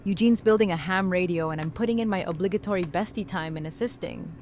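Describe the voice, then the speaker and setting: A woman acting a wry, warm-hearted best friend in an audio drama, casual and joking. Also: lowish voice, quick pace, close to an everyday voice. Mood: teasing, kind.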